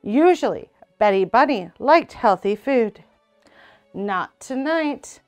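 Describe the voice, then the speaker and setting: A woman's voice: fairly high pitch, expressive, slow pace, faint music behind. A young woman reading a children's storybook aloud as a narrator. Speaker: EMT reading a children's book. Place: small indoor room.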